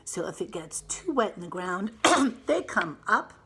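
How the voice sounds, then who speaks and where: A woman teacher reading a picture book aloud to young children in an expressive way, pausing to explain things simply with emphasized words. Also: mid-range voice, breathy edge.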